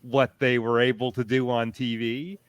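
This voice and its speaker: A man speaking as a panelist, explaining things in a measured, thoughtful, casual way. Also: medium pitch, flat delivery, no room sound, clean studio sound. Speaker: convention panelist.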